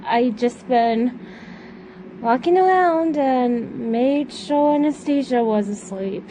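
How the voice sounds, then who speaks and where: A high, youthful teenage girl acted by a woman speaks very slowly and hesitantly, as a reserved, evasive daughter in a homemade audio drama. She sounds tired and understated, over a clearly noisy, rumbling recording. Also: dark tone. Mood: evasive, subdued. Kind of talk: amateur audio drama acting, naturalistic.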